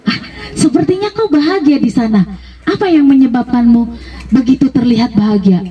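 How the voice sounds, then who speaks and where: A woman's voice: fairly high pitch, lively intonation, normal speed, lightly acted. A woman voicing an earnest, respectful young man in a told tale, hesitant and politely questioning. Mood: curious. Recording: dull, distant microphone pickup.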